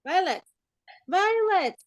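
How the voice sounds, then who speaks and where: High-pitched playful woman teacher in her forties. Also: expressive, slow pace, no echo, clean studio sound. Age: 40s. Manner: playful, teasing, often chuckling. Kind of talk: interactive online class chat.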